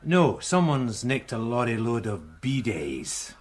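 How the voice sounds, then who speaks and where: Lively, bantering police sergeant. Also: male voice, medium pitch, slow. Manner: chummy, joking, rambling. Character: bantering, easy-going police detective sergeant. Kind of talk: naturalistic British radio drama acting.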